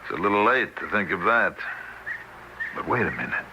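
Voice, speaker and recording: A man's voice: deep voice, very animated, normal speed. An actor playing a weary, rueful, well-meaning ordinary man in a 1970s radio drama, talking in an earnest, conversational way. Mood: earnest, scheming. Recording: noisy old 1970s radio broadcast.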